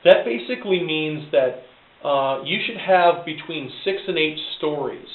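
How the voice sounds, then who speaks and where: A man's voice: on the high side, lively intonation, a little slow. A thin, phone-like recording in an echoey room carries a man's voice. He speaks as a career coach giving practical instruction. His delivery is direct, and he leans firmly on the key words. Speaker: college recruiter and career coach. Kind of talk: advice talk, semi-prepared instruction.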